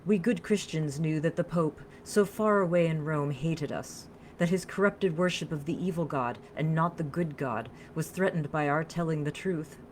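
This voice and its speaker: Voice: medium pitch, moderate pace, few pauses, a little hiss or hum, close to an everyday voice, rough recording. Narrator putting on an earnest French vampire woman. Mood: grave. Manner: earnest, flowing, formal speech. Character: earnest, solemn French female vampire storyteller.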